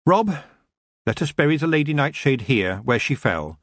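A man's voice: medium pitch, big pitch swings, normal speed, no room sound, pitched above the performer's own voice. Brief: young witch girl, raised and firm, serious and commanding, as a male narrator puts her on, dry sound. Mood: solemn. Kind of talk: audiobook character voice.

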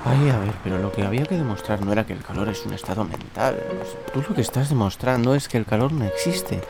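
A man's voice: fairly low pitch, expressive, fast, vocal fry. A man with a Spanish accent from Spain playing a sceptical, heat-dazed friend in colloquial scripted audio comedy. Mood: sceptical, weary. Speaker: co-host and actor. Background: music.

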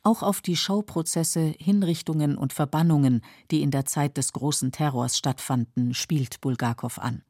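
A narrator reading scripted literary documentary text in a sober, measured manner. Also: medium pitch, dark tone, dry recording, studio quality.

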